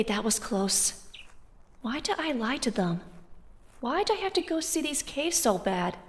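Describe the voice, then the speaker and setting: An actress playing a spirited, defensive teenage high-school girl in an audio drama, with an exasperated, sarcastic edge. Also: in a large hall.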